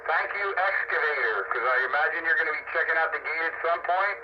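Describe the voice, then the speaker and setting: A man's voice: fairly high pitch, quick pace. A man hosting a casual radio chat, talking in a rambling way with repeated words, over a radio microphone. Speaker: host of amateur radio round-up. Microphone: radio microphone at arm's length. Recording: noisy, dull two-way radio transmission.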